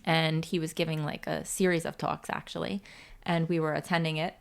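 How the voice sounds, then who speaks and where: A woman in her forties hosting a podcast, speaking in a soft, reflective way with many pauses. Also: low-pitched, flat delivery, gravelly. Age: 40s. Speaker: podcast host. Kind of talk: casual faith-based chat.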